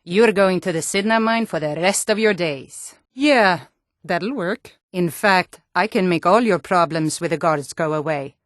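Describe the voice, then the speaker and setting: A woman's voice: lowish voice, a little slow, no room sound, clean studio sound, lightly acted. An actress voicing a tough Nord guard and bandit woman in a fantasy video game, stern, threatening and taunting. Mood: sly, conspiratorial. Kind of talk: video game character voice lines.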